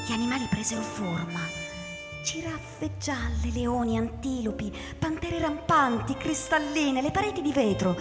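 A woman giving theatrical, expressive literary narration for a radio drama. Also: on the high side, normal speed. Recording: echoey, long reverb, music underneath. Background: music.

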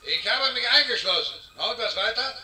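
An actor in a radio play playing a prickly, excitable ambassador, sarcastic and heard over a phone speaker. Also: male voice, very high-pitched, fairly quick, a little hiss or hum, full character voice, low-quality recording. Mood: alarmed. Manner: sarcastic, blustering. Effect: telephone loudspeaker. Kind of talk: German radio play acting.

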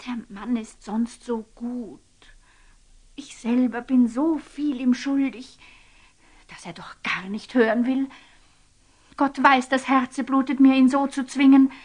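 A woman's voice: sits high, slow pace. An actress playing a devout, troubled woman confiding secrets in a classical radio drama, solemn, hesitant and emotional. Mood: anguished, confiding.

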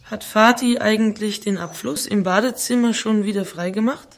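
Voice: medium pitch, normal speed, natural voice. A performer playing an eager, affectionate little boy in an audio drama. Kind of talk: German audio drama dialogue.

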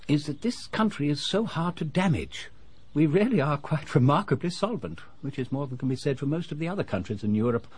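An actor playing an unassuming but knowing retired English civil servant, older, genteel and confidential, in a radio drama. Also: male voice, medium pitch, darkish tone, slightly rough.

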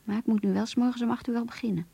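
A woman's voice: on the high side, fairly even intonation, racing, vocal fry, warm tone, husky, no room sound, exaggerated voice. An actress playing an earnest, melancholy young office clerk reading her diary in a radio drama, introspective and subdued. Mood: earnest, reflective.

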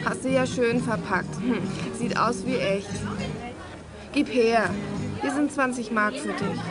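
A woman's voice: fairly high pitch, a little slow, loud music behind the voice, over a noisy crowd, natural voice. A young woman playing a haughty, snippy girl gang queen in a 1980s children's audio drama. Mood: haughty, dismissive.

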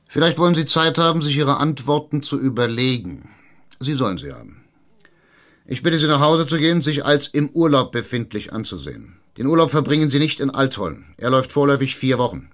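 A man playing a crude, cunning small-town mayor in a radio drama. He speaks with sardonic emphasis and commands everyone around him, in a mid-pitched male voice. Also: expressive, moderate pace, a bit bright, lightly acted. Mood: stern, interrogating. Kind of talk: German radio drama acting.

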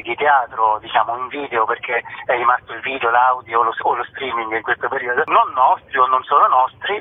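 A man with a husky voice giving a radio interview in speech, qualifying his points as he goes. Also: medium pitch. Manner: digressive, self-qualifying. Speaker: guest, theatre company artist. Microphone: telephone. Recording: thin, narrow phone line.

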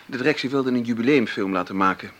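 An actor in a radio play playing a modest twenty-year-old film-maker, polite, unsure of himself and often stammering, with a husky voice. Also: male voice, mid-range voice, fast talker, fairly bright tone, natural voice. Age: 20s. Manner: hesitant, deferential, stammering. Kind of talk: Dutch radio play acting.

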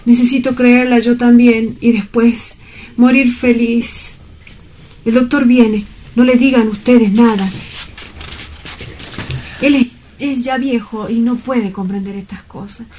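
Casting: woman to play lovelorn young male clerk, radio play, soft dark tone, unhurried, poetic and earnest. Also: on the high side, fairly even intonation, slight background noise. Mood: sorrowful confession. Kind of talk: dramatic radio-play monologue.

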